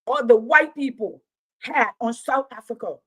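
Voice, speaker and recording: Woman near sixty, fairly high voice, fiery commentator who preaches in rhetorical runs. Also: normal speed, pushed and bright. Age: 50s. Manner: preaching, repetitive rhetorical build-ups. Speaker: commentator and Pan-Africanism teacher. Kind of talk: impassioned livestream commentary.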